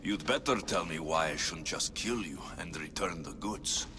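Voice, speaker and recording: An actor playing a tough, wry, menacing mercenary for hire in a video game cutscene. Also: male voice, sits low, expressive, moderate pace, music in the background. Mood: menacing, scornful. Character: tough, sardonic male mercenary for hire, adult.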